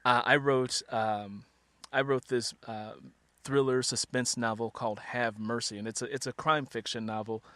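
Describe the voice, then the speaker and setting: A man's voice: medium pitch, fairly even intonation, moderate pace, bright, forceful tone, no room sound. A man interviewing as a host and fellow author, chatty and conversational, sharing his own experience as he leads into questions. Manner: chatty, illustrates questions with personal anecdotes.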